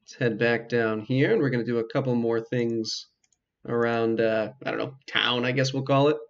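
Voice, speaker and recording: A man hosts a casual game walkthrough in a creaky, mid-pitched voice on a dull, lo-fi mic. He explains each step in a measured, hesitant way with dry asides. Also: fairly even intonation. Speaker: video game walkthrough host. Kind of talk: casual let's play commentary.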